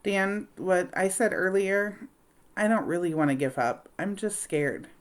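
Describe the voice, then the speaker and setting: A woman's voice: medium pitch, measured pace, voice pushed up, natural voice. Woman reading as earnest, anxious bookish girl.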